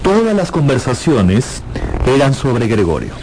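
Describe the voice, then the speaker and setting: A man's voice: medium pitch, huge pitch swings, measured pace, background noise. A man narrating a scripted dramatic story for a radio audiobook. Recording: music behind narration.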